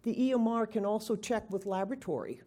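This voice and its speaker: Loud-voiced woman giving a conference talk. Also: medium pitch, fast. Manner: lively, informal presenting with fillers. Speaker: conference presenter. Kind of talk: conference lecture. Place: conference room.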